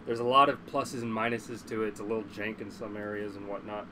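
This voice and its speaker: A man's voice: on the low side, flat delivery, fairly quick, background noise. A congested man chatting casually as a livestream host, blunt, opinionated and prone to swearing.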